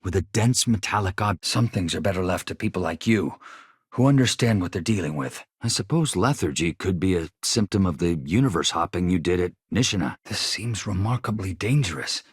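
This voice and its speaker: A man's voice: low-pitched, expressive, brisk, husky, no room sound, studio quality. A man voicing an earnest, anxious space engineer in a video game, a shaken survivor who speaks politely and hesitantly. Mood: earnest, reflective.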